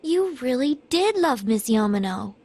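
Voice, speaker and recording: A high-pitched young woman in a video game drama. Her delivery is gentle and inquisitive, with a very lively melody. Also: unhurried, full character voice. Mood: curious, sympathetic.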